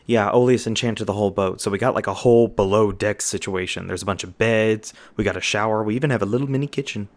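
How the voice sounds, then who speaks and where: Man voicing a bashful, low-voiced young werewolf jock. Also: fast talker, hardly any pauses, close to an everyday voice. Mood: bashful, playful.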